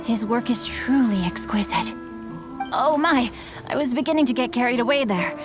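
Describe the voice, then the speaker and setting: A man's voice: very high voice, normal speed. A man voicing a courteous young male swordsman and novelist who speaks formally and politely, in a game. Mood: admiring. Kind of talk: game voice acting. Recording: game cutscene with music underneath. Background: game music.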